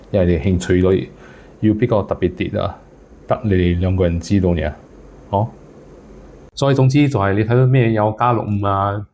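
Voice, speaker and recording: A man's voice: lowish voice, darkish tone, slight background noise. A man giving casual advice to viewers as a solo content creator, mixing English words into his speech.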